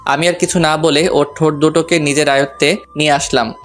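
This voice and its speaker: Male narrator, slightly high voice, playful colloquial romantic storytelling over light music. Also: steady tone, brisk, fairly bright tone. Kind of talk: first-person romantic story narration with acted dialogue.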